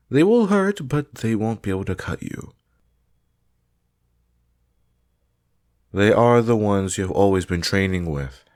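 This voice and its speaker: A deep male voice acted by a man for a fantasy battle trainer. He is stern and demanding, with formal, clipped commands that expect to be obeyed. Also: a little mellow. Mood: instructive, firm. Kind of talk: scripted fantasy audio roleplay.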